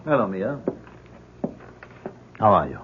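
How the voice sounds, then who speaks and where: An actor playing a calculating occult professor in a radio drama, speaking in a measured way. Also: male voice, lowish voice, very animated, slight background noise. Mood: placating. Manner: measured, placating, lecturing. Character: smooth, calculating male university professor of the occult. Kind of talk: 1970s radio drama acting. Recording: slightly dull old radio recording.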